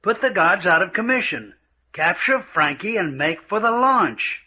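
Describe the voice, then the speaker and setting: An actor playing an unflappable English gentleman adventurer with a British accent and a clipped, understated manner in a radio drama. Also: high voice, big pitch swings, unhurried, husky, full character voice. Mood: brisk. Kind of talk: old-time radio adventure acting. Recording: muffled radio drama recording.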